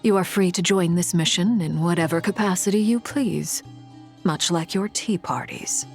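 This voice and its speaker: A woman's voice: fairly low pitch, moderate pace, darkish tone, soft background music, lightly acted. A woman voicing a poised young woman in an anime game, formal, articulate and composed. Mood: composed, concerned.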